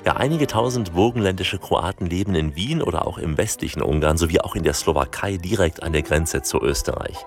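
A male host asking friendly, chatty interview questions and reading scripted narration. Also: a bass voice. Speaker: radio host.

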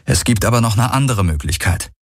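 A man's voice: medium pitch, lively intonation, fairly quick, slightly rough, dry recording, pitched above the performer's own voice. A man playing a weary, sardonic male space adventurer in an audiobook, with a blunt, dry manner. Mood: reasoning. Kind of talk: dramatised audiobook dialogue.

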